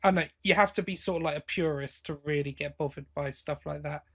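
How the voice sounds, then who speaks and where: High-pitched man in casual podcast chat. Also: fast talker, a bit bright. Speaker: podcast co-host. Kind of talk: casual chat reviewing audio drama.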